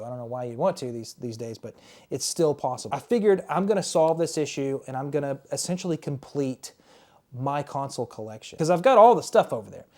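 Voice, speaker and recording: A man's voice: medium pitch, a little mellow. Enthusiastic man showing off retro games. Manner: chatty, enthusiastic, repeats words. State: losing his voice. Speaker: retro game collector and YouTuber. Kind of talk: casual show-and-tell vlog.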